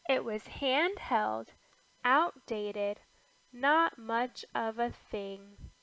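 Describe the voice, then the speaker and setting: A woman reads a rhyming picture book aloud in a higher-pitched voice with noticeable fry. She takes it extremely slowly, setting out each short phrase with care. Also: a bit bright, no echo, poor audio. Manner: deliberate phrasing with pauses between short phrases. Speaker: storybook reader.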